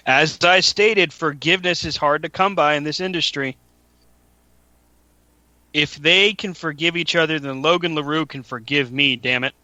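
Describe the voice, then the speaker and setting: A man hosts a wrestling podcast in a casual, joking way, often poking fun at himself. His voice is bright and forceful, sitting a little high. The recording is dry and lo-fi. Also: normal speed, no room sound. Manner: joking, laughs often, self-mocking. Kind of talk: casual chat. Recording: dull lo-fi podcast audio.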